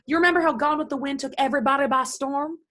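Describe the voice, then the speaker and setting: Woman acting domineering, high-voiced theatrical mother. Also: fairly even intonation, fast, sharp tone. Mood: gushing, coaxing. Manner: theatrical, indignant, gushing. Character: domineering middle-aged Southern mother, chattering, prim and scolding. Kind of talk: stage play reading.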